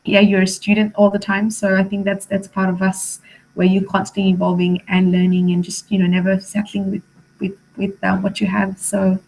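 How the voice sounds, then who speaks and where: A woman's voice: medium pitch, little pitch movement, moderate pace, creaky, darkish tone, poor audio. A woman from Malaysia whose first language is Malay, chatting casually as a teacher being interviewed, in a talkative, emphatic way. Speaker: primary school teacher, interview guest.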